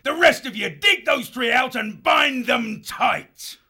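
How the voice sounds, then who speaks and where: A man's voice: fairly high pitch, sing-song, vocal fry, heavily acted. An actor playing a cruel, menacing male foxwolf warlord in an audiobook drama, with a sneering, gloating delivery. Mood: barking orders. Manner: slow, sneering, swinging between hushed and barked.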